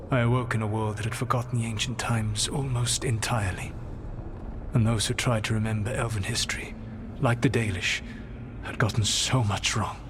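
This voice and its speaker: Man playing a solemn, low-voiced ancient elven rebel. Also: in a large hall, noisy background, lightly acted. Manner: formal, deliberate, eloquent. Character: ancient male elven god-rebel, grave, regretful, proud. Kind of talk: video game dialogue acting.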